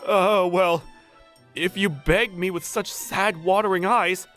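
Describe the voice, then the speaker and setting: A woman's voice: on the low side, slow pace. A woman voicing a flustered, lovestruck young working man who gushes and stammers in a manga dub. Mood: awed, narrating. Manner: excitable, stammering, gushing. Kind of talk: manga dub voice acting with narration. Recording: music under the voice.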